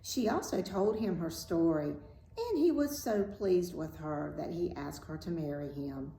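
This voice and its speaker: A woman's voice: fairly low pitch, lively intonation, a little slow, gravelly, dark tone. A woman reading a fairy tale aloud as a storyteller, in scripted narration. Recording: roomy echo with faint background noise.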